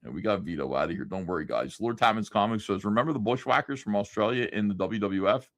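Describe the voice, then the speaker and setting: Male livestream host reading chat, swearing, rambling, pitch swinging all over, dry close sound. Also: lively intonation, fast, no echo. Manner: loose, profane, rambling with many fillers. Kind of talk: casual livestream chat, reading viewer comments.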